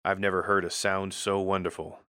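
A man with a very deep, forceful voice narrates scripted first-person fiction. He tells it tersely, like a gritty soldier. The sound is close and dry. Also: little pitch movement, a little fast, clean tone, dry recording. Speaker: story narrator.

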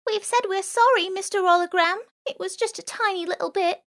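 A young punk girl thief, sharp-tongued and quick to scold, pitched well above the reader's own voice, as a woman narrator puts her on. She sounds loud and bright in a storybook reading. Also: lively intonation. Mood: pleading.

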